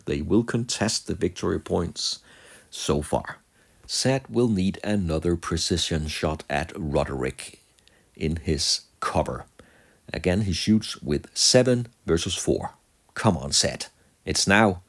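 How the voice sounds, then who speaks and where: Deep-voiced man narrating, slow and deliberate. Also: gravelly. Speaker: narrator of a tabletop wargame battle report. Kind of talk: play-by-play narration of miniature game moves.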